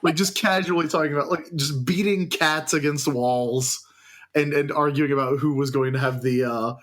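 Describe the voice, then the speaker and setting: A man's voice: on the high side, moderate pace, a bit bright, a little hiss or hum. Wry, chatty male podcast co-host. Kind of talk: casual chat.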